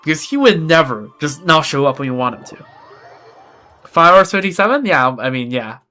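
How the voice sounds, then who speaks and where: A man's voice: on the high side, animated, moderate pace, pushed and bright, soft background music. A young man chatting casually while playing a game on a livestream, rambling with lots of restarts and self-deprecating asides. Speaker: streamer. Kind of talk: casual gameplay livestream chat.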